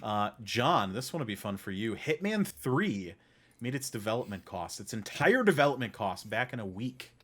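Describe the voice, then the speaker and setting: A man's voice: fairly low pitch, very animated, moderate pace, fairly bright tone. A man hosting a gaming podcast, chatting informally and jokingly as he prompts the others for their takes. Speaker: podcast host running a news segment. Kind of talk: casual gaming podcast chat.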